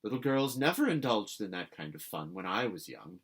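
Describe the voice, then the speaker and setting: A man's voice: on the low side, sing-song, moderate pace, husky, heavily acted. A man reading a storybook as a stern, prim old woman with a severe, clipped manner. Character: stern, prim old great-aunt, severe but softening.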